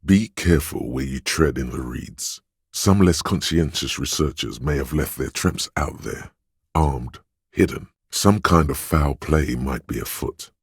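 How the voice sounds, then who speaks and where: A man voicing a suave, wry inner voice of a detective in a video game, narrating in a measured, knowing way. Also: rumbling low, husky, dry recording, clean studio sound, exaggerated voice. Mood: cool, deliberate. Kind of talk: second-person game narration.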